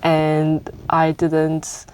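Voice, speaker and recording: A woman's voice: deep voice, monotone, drawn-out words, darkish tone, clear, resonant tone, rough recording. A woman guest in a casual talk who laughs through her words and corrects herself as she goes. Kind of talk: casual conversation.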